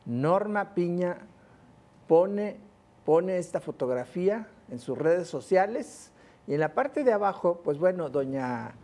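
A man's voice: sits high, animated, slow pace, dark tone. A Mexican man commenting on the news in a panel, conversational, with frequent hesitant fillers.

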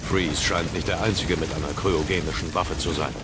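A man's voice: low-pitched, fairly even intonation, fairly quick, very noisy, natural voice. A man playing a grim, terse, brooding masked hero in a German audio drama. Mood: grim, focused. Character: grim, determined masked male vigilante hero. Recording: loud music behind the voice.

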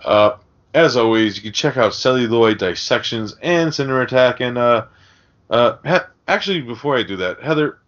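A man's voice: medium pitch, animated, normal speed, fairly bright tone. A man hosting a casual podcast, addressing guests and listeners in an informal, chummy way. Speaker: podcast host. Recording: muffled, lo-fi podcast audio.

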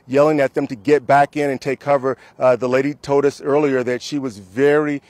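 A man's voice: medium pitch, flat delivery, fairly quick, a little mellow, dry recording. A man in his thirties, a field reporter speaking into a handheld mic outdoors, delivering the news earnestly. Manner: earnest, emphatic live delivery. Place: outdoors in a mall parking lot.

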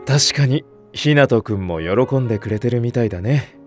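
An actor playing a calm, mature male head teacher who talks in a measured, mildly teasing way. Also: medium pitch, faint music behind, natural voice. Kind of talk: anime voice drama acting.

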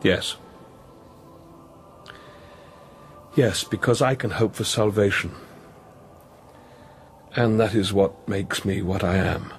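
A man in a radio drama playing a weary, guilt-ridden priest, anguished and searching, with an intense, halting, brooding delivery. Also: lowish voice, a little hiss or hum. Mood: reflective, resolute.

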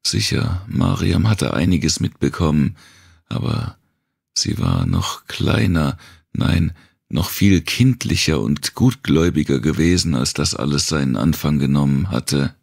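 A man reading a dark thriller audiobook in a measured, deliberate way, with a gravelly, husky voice. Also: extremely low pitch, lively intonation, no room sound, clean studio sound. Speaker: audiobook narrator. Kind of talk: scripted narration of a dark post-apocalyptic thriller.

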